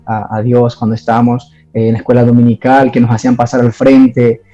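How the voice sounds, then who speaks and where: A man's voice: medium pitch, monotone, fairly quick, warm tone, muffled recording. A man from Ecuador, giving his church testimony in an interview and talking hesitantly, with many fillers and restarts.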